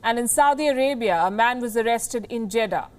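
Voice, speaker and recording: A woman's voice: a little high, brisk. A woman with an Indian accent reading scripted news as an anchor, emphatic and formal.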